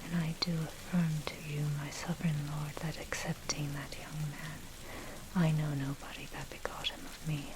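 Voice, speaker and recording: A woman narrates in a breathy ASMR whisper, low and very even in tone. Her reading is measured and scholarly, like someone presenting a history text. Steady, clearly audible rain runs behind her voice. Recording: steady loud rain noise over voice. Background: rain and thunder.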